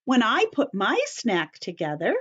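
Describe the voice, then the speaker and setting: A woman teacher giving a story-time lesson to young children, explaining in simple, deliberate phrases and repeating key points. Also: medium pitch, very animated, a bit bright, breathy edge, lo-fi sound.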